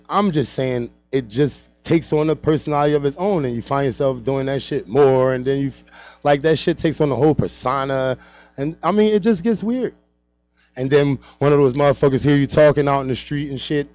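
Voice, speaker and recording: A man's voice: on the high side, lively intonation, brisk, a little mellow. A man co-hosting a radio talk show, rambling, cursing freely and repeating himself. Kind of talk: casual chat.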